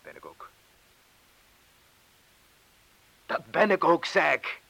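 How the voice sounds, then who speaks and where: An actor playing a rough, boastful yet fearful former miner in a radio play, talking gruffly and colloquially and prodding his listener. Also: on the high side, wildly swooping pitch, moderate pace, bright, forceful tone, exaggerated voice. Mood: insistent, agitated. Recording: hissy old radio play recording.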